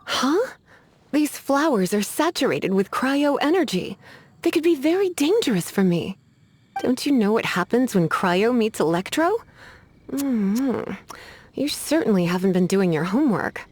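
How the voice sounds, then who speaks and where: An actress playing a languid, teasing adult librarian mage who scolds with playful menace. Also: female voice, a little high, big pitch swings, a little slow, darkish tone, slightly rough, heavily acted. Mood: scolding. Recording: game cutscene with faint music behind.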